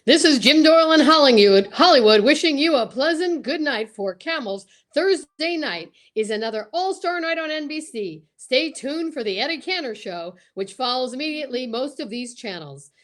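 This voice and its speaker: A woman's voice: high voice, expressive, moderate pace, few pauses. A woman co-hosting an online show, chatting casually and reading old radio scripts in an exclamatory, joking manner. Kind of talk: casual chat and mock announcer script reading. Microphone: video call or livestream.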